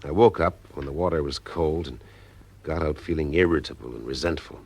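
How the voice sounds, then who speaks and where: An actor playing a wry but sympathetic private eye in an audio drama detective story. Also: male voice, extremely low pitch, fairly quick, a little mellow, husky, no room sound, heavily acted. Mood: wry narrating. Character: male private eye narrating his case, wry, sympathetic. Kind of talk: audio drama detective acting with first-person narration.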